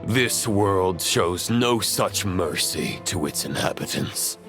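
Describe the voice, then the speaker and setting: Cold menacing video game villain, deep-ish, slow n grandiose. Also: male voice, animated. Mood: scornful. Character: cold, gloating male abyssal villain, ancient and menacing. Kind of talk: scripted video game acting. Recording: voice over game music.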